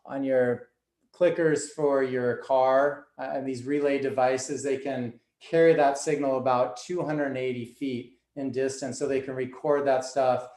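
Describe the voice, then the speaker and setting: A man's voice: medium pitch, steady tone, unhurried, a little mellow, roomy sound. A man as a podcast guest, talking earnestly and loosely, with lots of fillers. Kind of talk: casual conversation.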